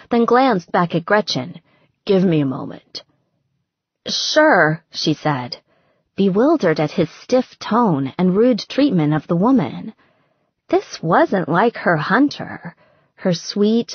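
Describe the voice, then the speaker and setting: A woman's voice: mid-range voice, husky, dry recording, lo-fi sound. A woman reading a story as scripted audiobook narration.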